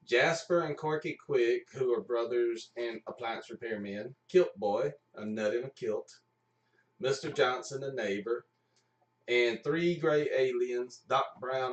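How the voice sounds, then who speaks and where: A man's voice: mid-range voice, far from the mic, dull audio. A man hosting a live stream of radio plays, chatting casually in a joking, rambling way. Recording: echoey room, off mic, music behind.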